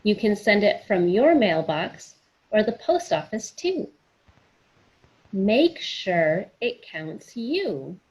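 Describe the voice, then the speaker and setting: Female children's storyteller, unhurried and animated, slightly breathy or husky tone, speaking directly to kids. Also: medium pitch, lo-fi sound. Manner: sing-song, encouraging, addresses children directly. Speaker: children's story time host reading aloud. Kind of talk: picture book read-aloud for children.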